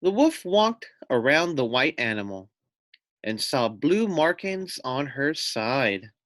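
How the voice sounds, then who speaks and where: A man's voice: medium pitch, big pitch swings, slow, fairly bright tone, dry recording. A man reading a children's story aloud like a teacher, expressively, with asides to his listeners. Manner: addresses listeners with questions and asides.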